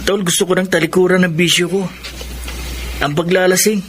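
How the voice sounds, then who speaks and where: A man's voice: sits high, little pitch movement, brisk, lightly acted. An actor playing an earnest, grateful young Filipino man in a radio drama. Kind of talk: Tagalog radio drama acting. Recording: noisy ambience behind the voice.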